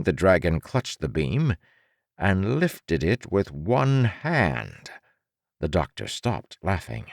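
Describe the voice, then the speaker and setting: A man narrating fiction for an audiobook in a dramatic, measured manner. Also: sits low, gravelly, no room sound, clean studio sound.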